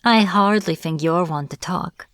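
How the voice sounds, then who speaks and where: A woman playing a smug, teasing witch in an audio roleplay, taunting a captive in a playful, deliberate manner. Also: lowish voice, dry recording, clean studio sound, lightly acted. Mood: teasing menace. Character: smug teasing young-sounding witch, captor of a hunter. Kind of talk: audio roleplay, acted monologue to the listener.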